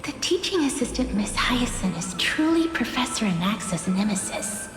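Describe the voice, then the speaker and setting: An actress playing a solemn young female student in a video game, speaking gently and modestly. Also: fairly high pitch, very animated, moderate pace. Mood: quietly amused. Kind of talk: dramatic video game voice acting. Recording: echoey long reverb with music behind.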